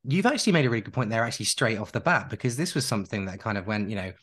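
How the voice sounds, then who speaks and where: Guy co-hosting a pod, low-ish voice, super enthusiastic, gushes and rambles. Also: big pitch swings, racing, a bit bright. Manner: enthusiastic, effusive, rambling with restarts. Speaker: podcast co-host. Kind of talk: casual podcast chat.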